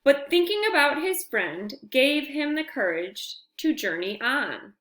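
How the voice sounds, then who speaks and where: A woman reading a picture book aloud to young children, with expressive, deliberate phrasing and friendly asides. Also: high-pitched, roomy sound.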